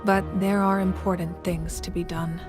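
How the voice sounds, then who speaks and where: An actress playing an authoritative female leader in a video game, measured and assured, with a friendly side. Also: fairly low pitch, level tone, over music, natural voice. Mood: friendly, wry. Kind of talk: video game character acting.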